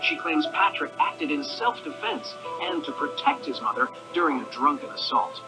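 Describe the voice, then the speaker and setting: An actor playing a male news reporter, reading a formal, measured crime report in broadcast style. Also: on the high side, big pitch swings, loud music behind the voice, low-quality recording.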